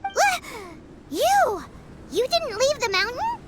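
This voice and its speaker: A woman voicing a tiny childlike fairy companion in a video game, chatty, dramatic and easily indignant, with a squeaky voice. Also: slow, heavy background noise, exaggerated voice. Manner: refers to herself by name, expressive and dramatic. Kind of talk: video game dialogue acting.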